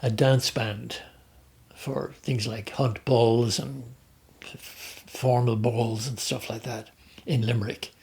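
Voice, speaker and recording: An older man with an Irish accent, talking about his life at an unhurried pace, often pausing to restart his sentences. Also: medium pitch, fairly even intonation, creaky, darkish tone. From Ireland. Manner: hesitant, with many restarts and fillers. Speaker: interviewee. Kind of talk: reflective conversational storytelling.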